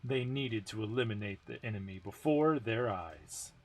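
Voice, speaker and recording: A man's voice: fairly low pitch, lively intonation, moderate pace. Man reading a game story aloud. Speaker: narrator.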